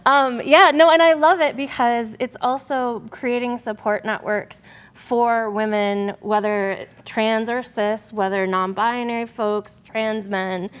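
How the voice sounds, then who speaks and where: A woman's voice: fairly high pitch, steady tone, takes it slowly, clear, resonant tone. A woman interviewing a guest in a casual, enthusiastic and supportive way, cheering and laughing along.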